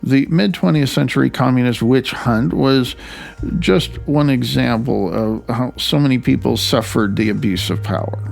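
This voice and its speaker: Middle-aged guy narrating his own audiobook, gravelly creaky voice, measured and deliberate, stretches words for emphasis. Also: medium pitch, a little mellow, faint music behind. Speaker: author reading own audiobook.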